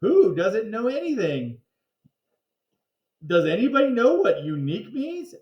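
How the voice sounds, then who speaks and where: A man's voice: sits high, wildly swooping pitch, unhurried, lo-fi sound, voice pushed up, exaggerated voice. A man voicing a cheerful, scatterbrained woman teacher from a children's storybook, upbeat as she addresses a class. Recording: roomy, echoing sound.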